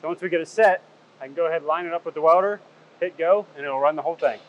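Matter-of-fact man, fairly high voice. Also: racing, dull audio. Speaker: welding technician. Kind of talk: casual technical explanation. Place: industrial workshop, seated at machine. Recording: background noise in workshop.

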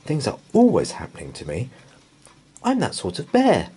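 A man's voice: medium pitch, huge pitch swings, normal speed, warm tone, husky, voice pushed up, full character voice. A man voicing a polite, earnest young bear in a storybook.

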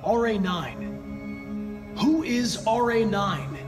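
A man's voice: high voice, drawn-out words, over music. An actor playing a polite, analytical young male android police investigator with a precise, composed way of speaking in a video game. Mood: probing, controlled.

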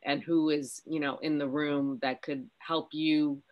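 A middle-aged woman on a video call, giving relaxed, conversational advice. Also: low-pitched, measured pace, dull audio. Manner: relaxed, conversational, many fillers. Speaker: business owner, panelist. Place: home room, indoors.

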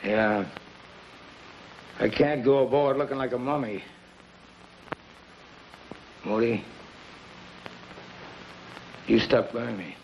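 An actor playing a terse, cold-blooded gangster on the run in a hard-boiled 1950s television crime drama. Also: male voice, medium pitch, slow, gravelly, long pauses. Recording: muffled, hissy 1950s TV soundtrack.

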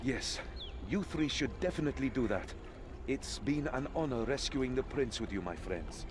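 A man's voice: mid-range voice, expressive, moderate pace, background noise, natural voice. A man voicing a cocky, teasing young adventurer hero in a video game, playful and theatrical. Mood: earnest.

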